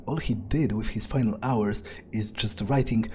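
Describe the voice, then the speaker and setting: A man narrating a video game playthrough in character, thinking aloud in a musing, halting way. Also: lowish voice, darkish tone, a little hiss or hum.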